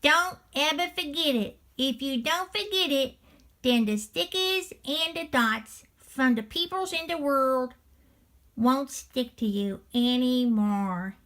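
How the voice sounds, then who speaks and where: High, bright little toy dog. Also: female voice, takes it slowly. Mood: awed, earnest. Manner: simple childlike wording, earnest, wide-eyed. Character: childlike stuffed toy dog, earnest and easily moved. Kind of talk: character reacting to a children's story.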